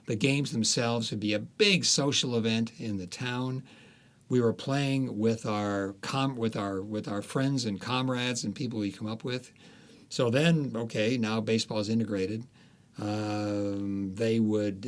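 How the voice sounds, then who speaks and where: A man, an author, talking through his ideas in a casual interview, thoughtful and halting, with frequent restarts. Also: fairly low pitch, steady tone.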